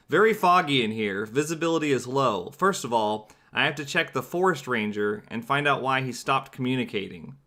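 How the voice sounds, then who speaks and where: A man's voice: medium pitch, bright, forceful tone. A man giving sarcastic, joking let's play commentary while playing a game. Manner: sarcastic, dry jokes about the game. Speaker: game streamer.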